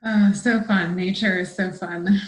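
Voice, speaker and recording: A woman's voice: mid-range voice, fairly even intonation, unhurried, a little hiss or hum. A woman hosting a casual interview, giggly and encouraging, with short responsive interjections, in an echoey room. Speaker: host.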